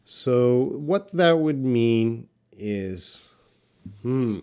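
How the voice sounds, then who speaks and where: A man hosting a live English lesson, answering learner questions in a deliberate, emphatic way and repeating key points. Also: mid-range voice, lively intonation, a little mellow, clear, resonant tone. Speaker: English teacher, live Q&A host.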